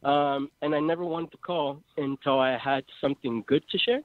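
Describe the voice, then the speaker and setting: A young man with a Mexican accent talks over a tinny phone line. His voice sits a little high and sounds dry. He rambles and hesitates, with fillers everywhere. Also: fairly even intonation, normal speed, dry recording. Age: 20s. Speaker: caller. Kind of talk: phone-in call to a live show.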